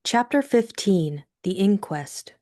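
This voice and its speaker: A woman's voice: mid-range voice, animated, takes it slowly, warm tone, no echo, clean studio sound. A woman delivering a scripted introduction as an audiobook host.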